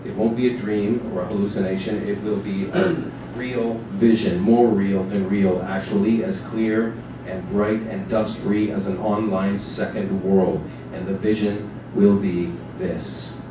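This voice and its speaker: A deep-voiced man gives a measured dramatic reading of narrative prose on a small stage. His delivery is deliberate and literary, caught from far off in a heavily echoing, noisy space. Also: fairly even intonation. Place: small theatre stage with seated audience. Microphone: distant camera microphone.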